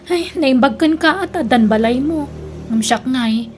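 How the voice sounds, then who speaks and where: A woman's voice: high voice, moderate pace, a little hiss or hum, natural voice. A woman with a Filipino accent playing a devoted, defensive young woman who is a younger sister, in an audio drama. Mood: apologetic. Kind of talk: Ilocano radio drama acting.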